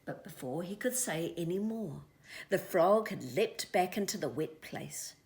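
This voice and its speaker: A woman with a New Zealand accent reading a children's story expressively, dramatic and hushed in places. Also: fairly low pitch, animated, unhurried. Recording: echoey room.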